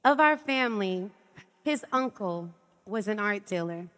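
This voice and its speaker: An actress playing a self-important, gossipy cousin, chatty and emphatic in a theatrical stage style. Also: female voice, mid-range voice, animated, unhurried, fairly bright tone. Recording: reverberant hall, stage performance.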